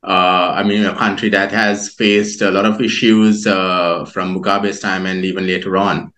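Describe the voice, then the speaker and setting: A man interviewing a guest over a video call, asking measured questions with some hesitation. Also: low-pitched, flat delivery, fairly bright tone.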